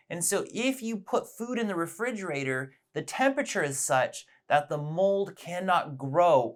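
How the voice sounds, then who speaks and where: A man presenting a science lesson as storytelling, explaining things simply and with emphasis. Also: sits high.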